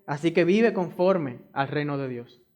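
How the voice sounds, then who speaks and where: Male preacher, high voice, emphatic delivery, repeats his lines to the flock. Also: unhurried, darkish tone. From Dominican Republic. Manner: emphatic, repeats key phrases; addresses the congregation directly. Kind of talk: sermon.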